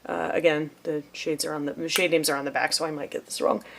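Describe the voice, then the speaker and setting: A woman's voice: deep voice, flat delivery, quick pace, a bit bright, a little hiss or hum. Young woman doing a makeup tutorial, chatty and joky, gets kinda whispery mid-blend. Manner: chatty, playful, self-deprecating. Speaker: beauty vlogger.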